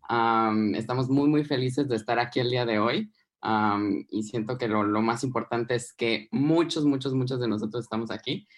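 A man's voice: medium pitch, steady tone, normal speed. A young man representing a student club on a radio show, speaking hesitantly with many fillers as he introduces teammates. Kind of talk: casual radio chat.

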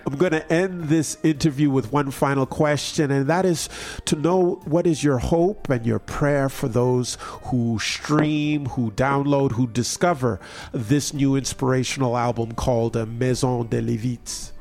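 A man's voice: mid-range voice, a little slow, a little mellow. A man hosting a radio interview, upbeat and affirming. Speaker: radio host.

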